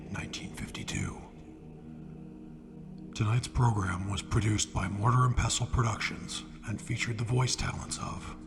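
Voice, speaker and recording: A male announcer reads scripted credits as the host of a radio drama show. His lowish voice is hushed and breathy, with music behind it.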